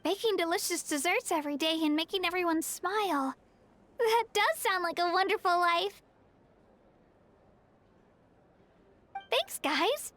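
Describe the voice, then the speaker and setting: A woman's voice: extremely high pitch, animated, normal speed, fairly bright tone. A woman voicing a kind, earnest young girl in a video game, with a sweet childlike manner. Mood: happy, gentle. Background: music.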